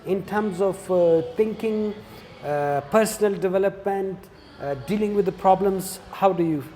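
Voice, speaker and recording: A man's voice: high-pitched, normal speed, warm tone. A man hosting a casual interview and addressing viewers, with long reverb on his voice. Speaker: interview host. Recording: reverberant hall-like echo.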